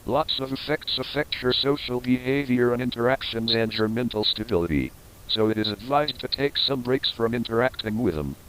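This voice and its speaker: A man's voice: medium pitch, normal speed, a bit bright, a little hiss or hum, low-quality recording. A man narrating a scripted instructional horror tape in a formal, explanatory manner.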